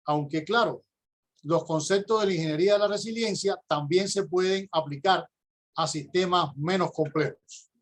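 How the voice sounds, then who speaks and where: A middle-aged man with a Cuban accent delivers a prepared conference talk, his voice sitting notably high for a man. He explains step by step in a measured tone and pauses now and then to find the next word. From Cuba. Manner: measured, explanatory, with occasional hesitations. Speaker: university professor and conference speaker.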